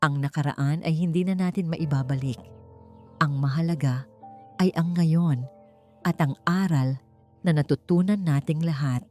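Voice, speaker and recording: A woman voicing a calm, firm and earnest young man, the hero of a romance story. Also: low voice, measured pace, long pauses. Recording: faint music behind.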